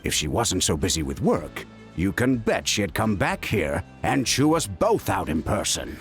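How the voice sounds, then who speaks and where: A man's voice: low-pitched, a little slow, faint music behind, exaggerated voice. An actor playing a caring, middle-aged father and healer in a video game, chiding and chatting in an exasperated way. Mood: exasperated, scolding. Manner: animated, chiding, conversational.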